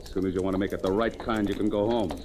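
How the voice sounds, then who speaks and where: A man acts out a menacing gangster from an old radio crime play. His voice is low and growling, his orders short and hard. The vintage recording is very noisy. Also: level tone, rapid-fire, close to an everyday voice. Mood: impatient, commanding. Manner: curt, commanding growl. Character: menacing male gangster thug holding someone at gunpoint. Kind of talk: old-time radio crime drama acting.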